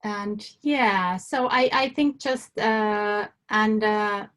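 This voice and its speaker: A woman from Finland answering survey questions, hesitant and pausing often, with many fillers and restarts. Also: mid-range voice, fairly bright tone. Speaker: survey respondent.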